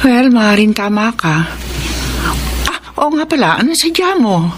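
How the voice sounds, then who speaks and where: Kind Filipina mother, expressive pitch. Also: unhurried. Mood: friendly, earnest. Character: kind-hearted, troubled doctor wife and mother. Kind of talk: Filipino radio drama acting. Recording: faint background noise.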